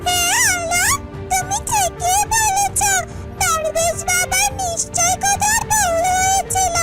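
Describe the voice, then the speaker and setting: A woman voicing a small, tender yellow bird companion in a children's fairytale cartoon, speaking sweetly and earnestly. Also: very high-pitched, steady tone, takes it slowly. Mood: agreeable. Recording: loud music behind the voice. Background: children's cartoon music.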